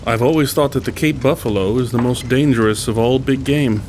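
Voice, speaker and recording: A man's voice: medium pitch, brisk, darkish tone, slight background noise, lightly acted. A man playing a polite big-game hunter, an inquisitive guest, in an audio drama. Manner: courteous, inquisitive. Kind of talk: full-cast audio drama acting.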